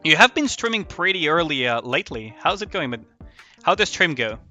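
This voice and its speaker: A man chatting casually on a game livestream, telling stories in a rambling, animated way with casual swearing. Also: on the high side, fairly quick. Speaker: live streamer. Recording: music behind the voice.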